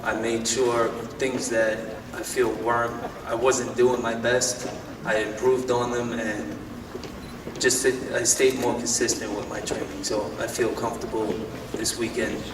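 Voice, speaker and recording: A man, a boxer, answering press questions plainly with halting repeats. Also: medium pitch, little pitch movement. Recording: echoey hall, far from mic, noisy.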